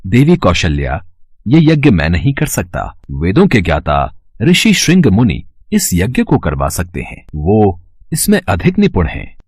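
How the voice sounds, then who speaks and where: A man playing a solemn royal sage and guru in a devotional cartoon, speaking formally with a husky voice. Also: deep voice, huge pitch swings, unhurried, darkish tone, no echo, studio quality, exaggerated voice. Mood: solemn, reassuring. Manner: formal, declamatory. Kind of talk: Hindi devotional cartoon dub.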